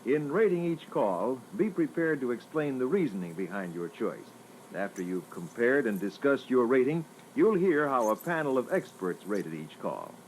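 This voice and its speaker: A man narrating an instructional training record in a formal, measured, scripted style. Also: medium pitch, very animated. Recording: noisy old reel-to-reel tape.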